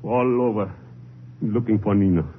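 An actor playing a defensive, hot-tempered Mexican boxing manager in 1950s radio drama, emotional and protesting in broken grammar. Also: male voice, fairly low pitch, fairly even intonation, normal speed, close to an everyday voice. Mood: anxious, evasive. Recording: muffled, humming old radio recording.

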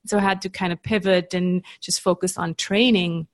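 A woman's voice: lowish voice, fairly even intonation, normal speed. A woman from Switzerland with a Canadian-tinged English accent, talking casually as a podcast guest about her coaching business and laughing easily between points. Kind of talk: casual interview chat.

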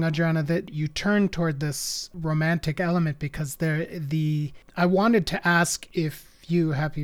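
This interviewer builds a long, discursive question with academic references and dry humor. The voice sits at an ordinary middle pitch. The delivery is wandering but pointed.

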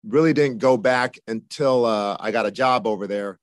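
A man in his fifties, a football receivers coach, answering interview questions in a measured way, with many "you know" and "uh" fillers. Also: lowish voice, no room sound, studio quality. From Japan, raised in the United States from age seven.

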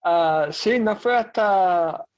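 A man in his thirties, a priest, telling his faith story in an interview with lively, joking anecdotes. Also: high voice, very slow. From Bronx, New York. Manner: animated storyteller, jokes and acts out quotes. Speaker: diocesan priest.

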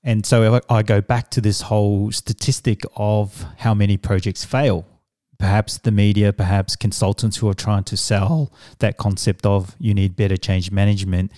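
Samoan-born guy, middle-aged, samoan first language, warm low voice, dry room, talks slow and thoughtful with some ums. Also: dry recording. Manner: measured, reflective, with fillers and restarts. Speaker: guest, business coach. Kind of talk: conversational interview answers.

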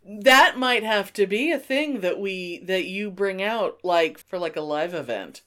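A woman's voice: mid-range voice, lively intonation, measured pace, fairly bright tone. A middle-aged woman hosting a podcast in casual banter with a friend, emphatic and teasing, given to exclamations. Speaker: podcast host.

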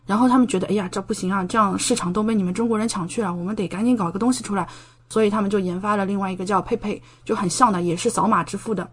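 Woman, medium pitch, casual interview talk, talkative, explains with examples. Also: fairly even intonation, rapid-fire, husky. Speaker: podcast guest, doctoral student in medicine living in Japan.

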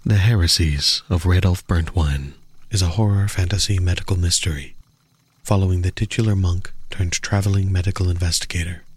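A man reading a scripted promo in a slow, ominous, portentous manner. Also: low voice, fairly even intonation, breathy edge, no room sound. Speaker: promo announcer.

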